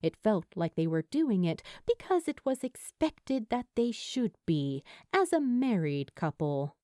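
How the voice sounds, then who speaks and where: A woman reading scripted fiction as a storyteller, in an expressive way. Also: medium pitch, measured pace, dry recording, studio quality. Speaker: audiobook-style fan fiction narrator.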